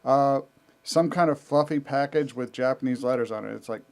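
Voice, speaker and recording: A man's voice: mid-range voice, moderate pace, darkish tone. A man co-hosting a podcast who chats casually, with an emphatic, wry delivery. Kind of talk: casual chat.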